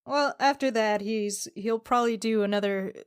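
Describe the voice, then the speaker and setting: A woman with a slightly high voice plays a tabletop role-playing game. She talks casually and jokingly, improvising what her character does. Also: normal speed, clean tone, no room sound, clean studio sound. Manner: informal, joking.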